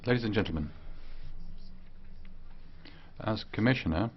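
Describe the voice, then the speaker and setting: A man simultaneously interpreting a formal speech in a measured, pausing way. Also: fairly low pitch, lively intonation, slight background noise. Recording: dull broadcast feed.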